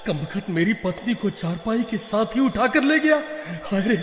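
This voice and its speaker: A man's voice: high voice, expressive, normal speed, noisy background. A man playing an indignant village husband in a children's story, theatrical and exclaiming. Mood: distraught. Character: indignant, defensive village husband, boastful then distraught. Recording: echoey reverberant sound with music.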